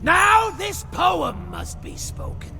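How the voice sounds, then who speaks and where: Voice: extremely high pitch, lively intonation, slow pace, gravelly, shouting, over music, full character voice. An actor voicing a mad, gleeful jester-like spirit chanting rhymes in theatrical singsong for a video game. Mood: gleeful menace. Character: mad cackling jester-like spirit reciting a rhyming curse.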